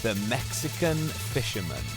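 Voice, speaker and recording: A man's voice: medium pitch, sing-song, measured pace, loud music behind the voice. A man hosting his own podcast, telling a story and encouraging listeners in an earnest, motivational monologue. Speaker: podcast host.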